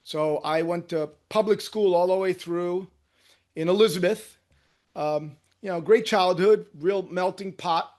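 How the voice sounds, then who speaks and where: Animated Cuban-born surgeon, higher-pitched man. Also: a little slow. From Cuba, raised in New Jersey. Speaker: guest, orthopedic hand surgeon. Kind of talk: casual interview storytelling.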